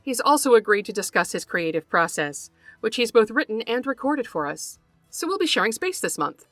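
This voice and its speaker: A woman hosting a podcast, reading a scripted narration in a measured, carefully enunciated way. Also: on the high side, sing-song, fairly bright tone, dry recording, soft background music. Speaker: podcast host. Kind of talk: scripted narration, introducing a guest.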